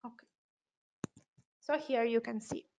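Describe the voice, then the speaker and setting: A woman with an Italian accent presenting a step-by-step software tutorial, hesitant and unscripted, often correcting herself. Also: on the high side, steady tone, unhurried, creaky, muffled recording. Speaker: webinar presenter, software trainer.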